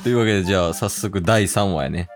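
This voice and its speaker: A man's voice: deep voice, soft background music. A man with a Kansai accent co-hosting a comedy radio show, chatting casually and butting in with mock complaints. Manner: quick to butt in with mock complaints.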